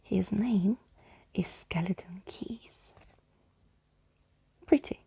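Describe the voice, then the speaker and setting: A woman speaking softly and intimately in an ASMR bedtime storytelling style, as if to one listener, with a breathy, husky, creaky voice. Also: medium pitch, extremely slow. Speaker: ASMR storyteller reading a book aloud. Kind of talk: soft-spoken ASMR bedtime roleplay and reading.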